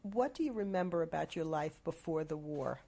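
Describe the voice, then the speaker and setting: A woman's voice: low-pitched, animated, moderate pace, gravelly, warm tone. A woman interviewing an older survivor, who formally reads out names, dates and places. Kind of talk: oral history interview.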